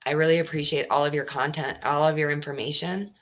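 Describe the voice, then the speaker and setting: Low-voiced earnest woman hosting a livestream. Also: fairly even intonation, fast talker. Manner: earnest, chatty, with many fillers. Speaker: true crime podcast host. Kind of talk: casual livestream talk.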